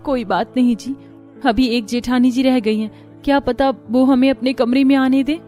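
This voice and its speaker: A woman's voice: sits high, steady tone, normal speed, mellow, faint music behind, natural voice. A woman playing a patient, dutiful young bride in a cartoon moral story, speaking politely and earnestly. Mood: gentle, reassuring.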